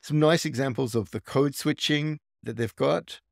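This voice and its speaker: A man's voice: mid-range voice, normal speed, vocal fry, dry recording, clean studio sound. A man hosting a tech tutorial, casually walking through a screen recording and thinking aloud with small restarts.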